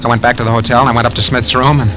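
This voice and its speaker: A man's voice: medium pitch, flat delivery, very fast, noisy background, close to an everyday voice. An actor playing a cynical, scheming swindler with clipped tough-guy slang in a 1940s radio crime drama. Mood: cold, scheming. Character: cynical scheming male swindler, cool, coldly murderous narrator. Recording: 1940s radio recording with loud music.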